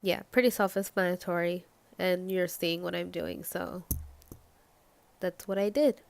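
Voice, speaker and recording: A woman's voice: on the low side, fairly even intonation, a little slow, gravelly, clean tone. A young American woman who is sick, giving a casual, matter-of-fact and apologetic makeup tutorial voiceover. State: sick with a cold. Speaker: makeup vlogger.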